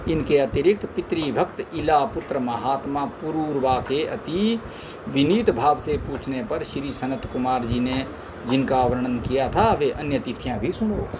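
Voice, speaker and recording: A man's voice: mid-range voice, animated. Man reading scripture over street noise. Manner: measured recitation with stumbles and chatty asides. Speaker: scripture reader. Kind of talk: reading a holy text aloud.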